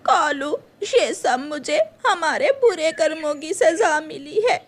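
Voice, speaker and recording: Woman acting ageing greedy crow lady, high voice, swooping delivery, whiny, cartoon. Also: animated, slow pace. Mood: tearful lament. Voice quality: tearful, high-pitched. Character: greedy, ageing female crow, whining and pleading.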